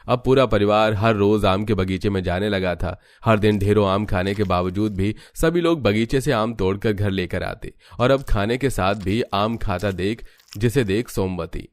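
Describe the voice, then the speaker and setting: Low-voiced male storybook narrator. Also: moderate pace, no room sound. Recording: music under the voice.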